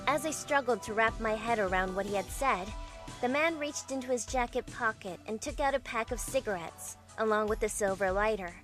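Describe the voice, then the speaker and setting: A woman's voice: on the high side, brisk, a bit bright, soft background music, lightly acted. A woman playing a bewildered young heroine, narrating her own story in an amateur visual novel dub. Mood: introspective. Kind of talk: first-person visual novel narration, fandub.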